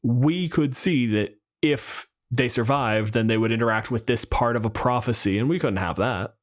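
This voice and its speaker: A man's voice: mid-range voice, moderate pace. A man co-hosting a podcast, chatting casually and unscripted about tabletop games, with wry asides and loose swearing. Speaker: podcast co-host.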